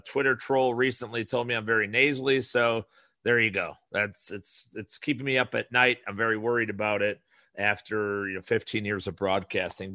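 A man hosts his podcast alone, talking in a nasal, bright, forceful voice. He rambles loosely, restarts his sentences and slips in sales pitches. The sound is dry and close. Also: no room sound. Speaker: podcast host. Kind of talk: solo podcast monologue.

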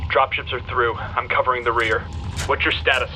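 A man's voice: mid-range voice, steady tone, normal speed, close to an everyday voice. A man playing a loyal, harried knight pilot in battle in a sci-fi audio drama. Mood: grim. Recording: loud engine and battle noise.

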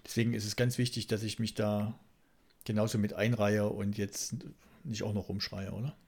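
A man's voice: lowish voice, little pitch movement, moderate pace, darkish tone, husky. A man co-hosting a casual banter podcast, talking in a dry, ironic, rambling way. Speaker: podcast co-host.

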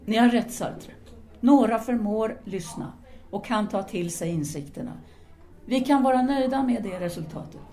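A woman's voice: a little high, warm tone, close to an everyday voice. A woman playing a hard, scornful, self-possessed intellectual in an audio drama, with a cool, formal, cutting manner. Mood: approving.

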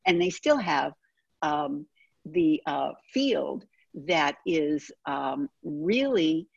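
A woman's voice: low-pitched, animated, drawn-out words, gravelly, husky, no room sound. A woman hosting an interview, chatting in an enthusiastic, chatty way. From Boston, USA. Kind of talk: casual interview chat. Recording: dull lo-fi sound.

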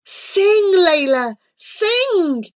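A woman's voice: sits high, huge pitch swings, measured pace, a bit bright, pitched above the performer's own voice, exaggerated voice. A woman voicing an earnest, anxious blind boy in a storybook tale, urgent and pleading. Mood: begging.

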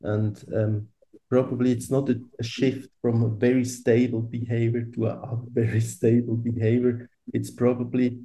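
A man's voice: mid-range voice, flat delivery, normal speed, mellow, rough recording. A man with a Swiss German accent, a psychologist guest on a podcast interview, speaking with some hesitation. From Switzerland.

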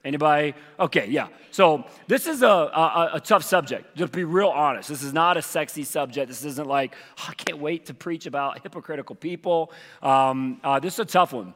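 A man preaching as lead pastor, hoarse from losing his voice, talking casually with many fillers. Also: on the high side, moderate pace, fairly bright tone, long reverb tail. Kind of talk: sermon with announcements. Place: church auditorium.

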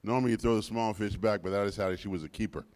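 A man in his sixties with a deep voice, preaching as a guest pastor in church with emphatic repetition that swings from soft to loud. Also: steady tone, fast. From United States. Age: 60s. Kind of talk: sermon. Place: church sanctuary.

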